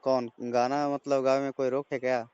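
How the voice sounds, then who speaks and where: A man's voice: medium pitch, flat delivery, normal speed, a little mellow, smooth, no echo. A man with a Bihari accent in an informal phone call, talking bluntly and coarsely with swearing. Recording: phone call recording, close and dry.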